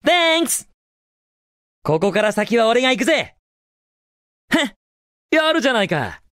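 A man's voice: very high voice, big pitch swings, measured pace, a bit bright, dry recording, clean studio sound, heavily acted. An actor playing a cocky, confident young male hedgehog hero in a Japanese video game, with a cool, casual delivery. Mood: cocky, taunting.

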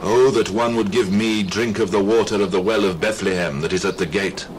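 A low, earnest male voice, an actor as a devout warrior leader in a Bible drama. He speaks solemnly, with faint noise behind him. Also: steady tone, brisk, close to an everyday voice, low-quality recording. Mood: longing.

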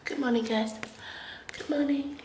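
A woman's voice: high voice, fairly even intonation, measured pace, darkish tone, slight background noise. A young Australian woman chatting casually to her camera at home, with a stuffy, blocked nose, rambling and hesitant with lots of fillers. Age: young adult. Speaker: vlogger. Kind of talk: casual vlog chat to camera. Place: living room of a house, indoors. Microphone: handheld camera at arm's length.

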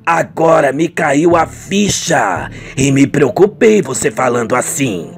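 A man's voice: medium pitch, sing-song, slow, vocal fry, soft background music, heavily acted. A man playing a doubtful, watchful father in an amateur Brazilian radio soap, questioning and admonishing. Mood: worried. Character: doubtful, watchful middle-aged father of a grown daughter.

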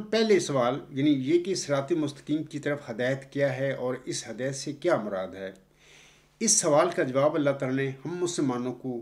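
An older man giving a Friday sermon with a close mic, explaining scripture and chanting Arabic verses in long, drawn-out phrases. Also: medium pitch, darkish tone. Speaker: preacher. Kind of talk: Friday sermon with slow Quranic recitation. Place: indoors, seated before a backdrop screen. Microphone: close studio mic in front of the face.

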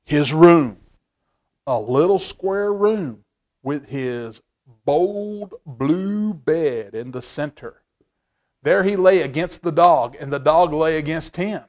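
A middle-aged man with a somewhat high voice hosts a children's storytime. He reads expressively and talks to young listeners in a folksy way, often repeating a phrase to drive it home. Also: expressive, takes it slowly, a little mellow. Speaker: library storytime reader, stand-in host. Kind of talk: animated picture-book read-aloud with asides to children. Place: indoor library room, seated at a table.

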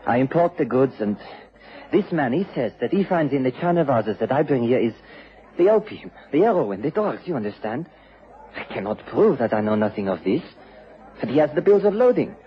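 An actor playing a courteous, troubled merchant with a foreign accent and halting, formal English in a radio drama. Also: male voice, mid-range voice, big pitch swings, lightly acted. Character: troubled, courteous foreign importer pleading his case. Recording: dull lo-fi radio broadcast.

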